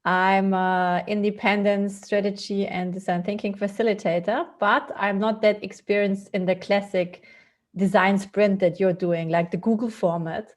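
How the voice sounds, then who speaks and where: A woman's voice: mid-range voice, fairly even intonation, moderate pace. A woman who works as a strategy and design facilitator, introducing herself easily on a video call. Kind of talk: casual self-introduction.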